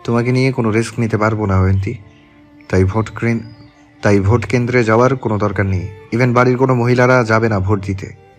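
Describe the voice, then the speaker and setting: Man acting deep-voiced, self-assured young politician. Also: steady tone, quick pace, faint music behind, lightly acted. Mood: firm. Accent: Bangladeshi. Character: young male politician, tender husband, coolly menacing to rivals. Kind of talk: romantic audio story acting.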